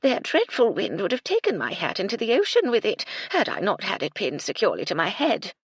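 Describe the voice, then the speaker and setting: A narrator voicing an eccentric elderly widow who talks in a high, chirping, airy way. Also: female voice, huge pitch swings, brisk, slightly rough, dry recording, full character voice. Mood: chirpy. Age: older.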